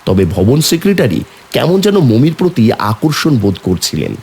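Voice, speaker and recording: A man's voice: mid-range voice, very animated, moderate pace, a little mellow, slightly rough. A man narrating a horror story in the first person as a somber young man, brooding and suspenseful. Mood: eerie, suspenseful. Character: somber young man recounting an eerie burial.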